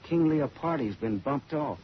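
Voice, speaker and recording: A man's voice: medium pitch, lively intonation, moderate pace, close to an everyday voice. A man with an American accent acting a tough, wry private detective in a radio drama, brusque and slangy. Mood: sardonic, accusing. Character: hard-boiled, wry male private detective, tough and probing. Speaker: detective and first-person narrator. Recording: dull, noisy old radio recording.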